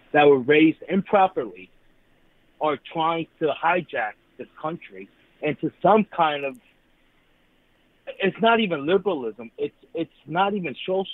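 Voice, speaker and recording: A man's voice: a little high, no echo. A man from New York calling in to a talk show, speaking hesitantly with many restarts and fillers. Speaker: caller. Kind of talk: call-in radio talk. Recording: tinny phone line.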